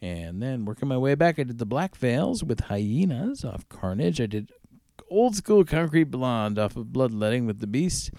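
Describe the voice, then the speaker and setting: A male radio DJ talks through the tracks he has played on his music show. His voice is mellow with some gravelly fry and a lively, moving pitch. He goes haltingly, stretching out titles, in a close, dry sound. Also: no echo.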